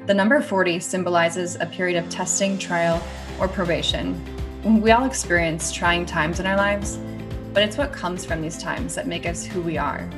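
A woman speaking as a podcast host, introducing and interviewing a guest. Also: lowish voice. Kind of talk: conversational interview with a scripted introduction.

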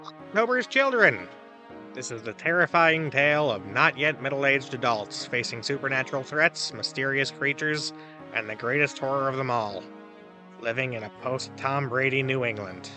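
Casting: male actor for a breezy, self-assured god hosting a comedy advice podcast, very crisp bright edge, theatrical emphasis, music underneath. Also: medium pitch. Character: cheerful deluded god dispensing absurd, dangerous advice. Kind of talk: comedic audio drama monologue, advice show.

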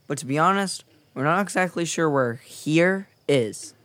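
A man's voice: a little high, animated, measured pace, fairly bright tone, no room sound. A man playing a thoughtful, earnest young boy in a children's audio drama. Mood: earnest, curious.

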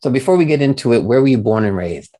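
A man's voice: medium pitch, animated, quick pace, warm tone. A man hosting an interview show, introducing his guest and asking questions.

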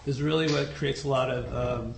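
A soft-spoken man giving a dharma talk to a group, unhurried and hesitant, with many fillers. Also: medium pitch, fairly even intonation, gravelly, noisy background. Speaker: dharma teacher. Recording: echoey room recording.

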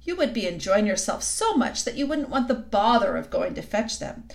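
A woman's voice: medium pitch, sing-song, moderate pace, vocal fry. A woman voicing a scheming queen who coaxes a boy with sweet, sly promises. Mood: coaxing. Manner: coaxing, silky, suddenly sharp. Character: sweetly scheming cold queen, tall and commanding.

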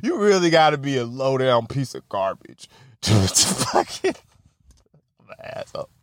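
A man's voice: mid-range voice, sing-song, moderate pace, dry recording, studio quality. A young man hosting a podcast, rambling casually with lots of laughter and swearing, and a stutter. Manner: laughing, profane, self-mocking. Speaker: podcast host.

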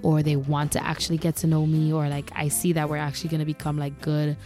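A woman's voice: low voice, monotone, quick pace, dark tone, faint music behind. A young woman from Grenada with a Grenadian accent, hosting a casual monologue and talking candidly, a little exasperated. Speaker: podcast host.

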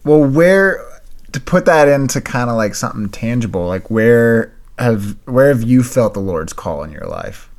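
A man's voice: mid-range voice, expressive, moderate pace, a little mellow. A man co-hosting a podcast who keeps asking probing follow-up questions. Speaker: podcast co-host. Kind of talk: casual podcast chat.

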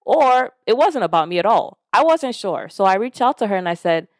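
A woman's voice: mid-range voice, a little fast, no room sound, clean studio sound. A woman from Nigeria whose first language is Yoruba, hosting a podcast and talking frankly and casually, with the odd curse, about self-growth. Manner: frank and conversational, with casual swearing; lively when retelling stories, voicing others. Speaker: podcast host. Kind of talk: candid self-help monologue.

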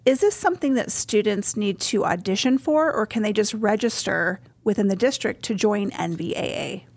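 A woman's voice: medium pitch, expressive, normal speed, a little mellow. An American woman co-hosting a podcast, commenting and asking questions in a conversational way. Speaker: podcast co-host. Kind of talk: conversational interviewing.

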